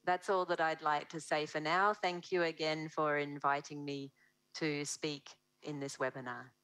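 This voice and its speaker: A woman in her thirties presenting research in a webinar over a video call, measured and explanatory, correcting herself now and then. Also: sits low, fairly even intonation, fairly bright tone, dry recording, low-quality recording.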